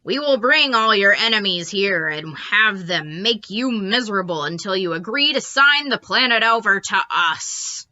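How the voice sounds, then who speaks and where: A woman voicing a grumpy, bossy green alien invader in a storybook, forceful and declamatory, on a slightly dull recording. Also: mid-range voice, animated, unhurried, hardly any pauses. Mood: demanding.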